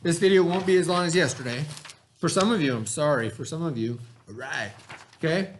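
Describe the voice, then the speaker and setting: A male teacher in a recorded lesson for his class, explaining words slowly with everyday examples and checking in often. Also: fairly high pitch, slight background noise. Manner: checks in often with "okay" and "right".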